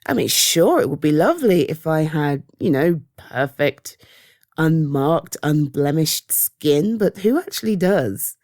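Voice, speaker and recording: Casting: actress playing caring British woman for intimate ASMR roleplay; low, mellow, halting, reassuring delivery. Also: lively intonation, dry recording, clean studio sound. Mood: gentle reassurance. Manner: hesitant, soft reassuring, halting pauses. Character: caring, reassuring woman comforting a loved one. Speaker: voice roleplay performer. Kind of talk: ASMR roleplay, one-sided intimate conversation.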